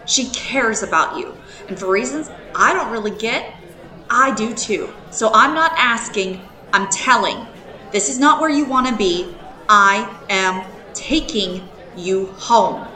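Audio drama: stern, suspicious woman friend, blunt and sharp-toned, roomy echo, faint music. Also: mid-range voice, expressive, measured pace. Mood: exasperated, firm. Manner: blunt, commanding, sarcastic. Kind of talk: modern audio drama acting.

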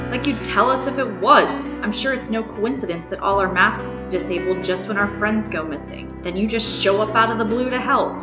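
A woman's voice: mid-range voice, normal speed, reverberant, over music, close to an everyday voice. An actress playing a stern, protective woman who speaks bluntly and sarcastically in an audio drama. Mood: suspicious. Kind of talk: modern audio drama acting.

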